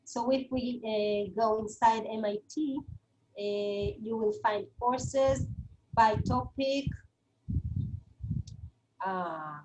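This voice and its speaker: A woman with a Hebrew accent lectures in English, her second language, in a fairly high voice. She talks in a hesitant way, full of fillers and restarts. The webinar recording carries a clear layer of background noise. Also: extremely slow, pauses often, darkish tone, poor audio.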